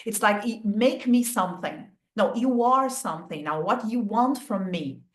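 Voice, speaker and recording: A woman's voice: medium pitch, moderate pace. A woman hosting an interview, sharing thoughts reflectively and asking questions with hesitant restarts. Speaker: interview host. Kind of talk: conversational interview. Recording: echoey room.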